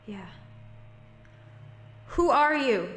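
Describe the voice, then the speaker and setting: A woman's voice: high voice, sing-song, very slow, fairly bright tone, heavily acted. A woman playing a determined, anxious young college student in an audio drama. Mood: anxious, defiant. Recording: roomy, faintly noisy recording.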